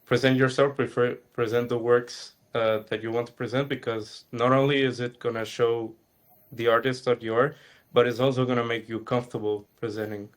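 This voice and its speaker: A young man answering questions in a webinar, thinking aloud with a hesitant, halting delivery. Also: medium pitch, flat delivery. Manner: thoughtful, hesitant, many fillers and restarts. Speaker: student answering audience questions.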